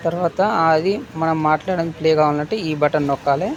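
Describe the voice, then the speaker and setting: A man's voice: on the high side, steady tone, a little fast, lo-fi sound. A man gives an informal, step-by-step product demonstration, often repeating phrases as he instructs. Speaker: product unboxing presenter.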